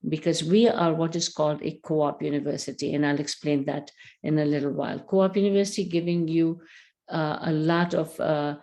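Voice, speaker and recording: Low-voiced woman giving a training webinar. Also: fairly even intonation, warm tone. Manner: slow, deliberate, with frequent fillers. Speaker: university recruitment trainer.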